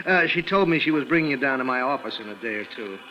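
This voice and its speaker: An actor playing a pompous, jovial middle-aged small-town dentist with florid, avuncular speech in 1940s radio drama style. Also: male voice, mid-range voice, animated, quick pace, over music, natural voice, poor audio. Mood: jovially pompous.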